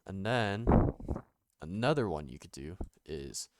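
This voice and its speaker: A young man with a nasal voice, recovering from being sick, talking casually through a music production tutorial with many pauses and fillers. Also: expressive, no room sound. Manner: halting, many ums and restarts, low-key. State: recovering from illness. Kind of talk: casual screen-recorded tutorial.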